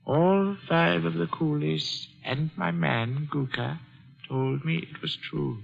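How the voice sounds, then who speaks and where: An actor playing a wealthy, formal, commanding older uncle in a 1940s radio drama, speaking in measured, precise phrases. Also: male voice, on the high side, fairly even intonation, vocal fry, soft background music, full character voice. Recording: dull lo-fi 1940s radio recording.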